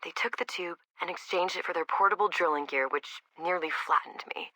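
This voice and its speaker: Sardonic lady scientist talking into her game audio diary, lowish bright voice, informal, super dry sound. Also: a little fast, no echo, low-quality recording. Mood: wry, dry. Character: wry, candid female research scientist recording audio logs. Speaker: research scientist character. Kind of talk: video game audio log acting, conversational diary.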